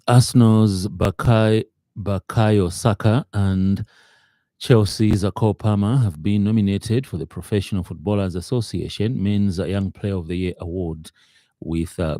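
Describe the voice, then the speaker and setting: Male radio news presenter, dark low tone, hesitant scripted delivery. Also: no room sound. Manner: halting, hesitant reading. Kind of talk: scripted news bulletin reading.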